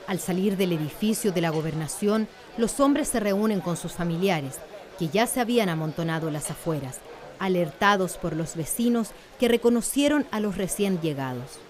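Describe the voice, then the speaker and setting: A woman's voice: lowish voice, lively intonation, moderate pace, a crowd in the background. A woman narrating a scripted radio drama in a solemn storytelling manner. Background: faint music.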